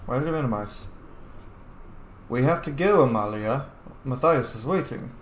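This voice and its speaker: A man doing roleplay commentary over a video game, reacting out loud and swearing casually. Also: mid-range voice, animated, moderate pace. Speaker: gaming video host. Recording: roomy echo, faint background noise.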